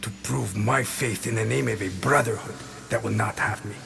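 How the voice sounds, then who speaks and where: An actor playing a weary, guilt-ridden but resolute veteran assassin, narrating his past in a solemn, measured video game voice-over. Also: fairly low pitch, fairly even intonation, vocal fry, over music. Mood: brooding, resolute. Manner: brooding, measured, literary.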